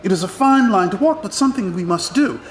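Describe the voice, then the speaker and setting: A man's voice: sits high, expressive, fast talker, roomy sound, a little hiss or hum. An actor playing a pragmatic American congressman in an audio drama, lecturing insistently with an American accent. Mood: cautioning. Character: pragmatic, cautioning male congressman, seasoned political insider.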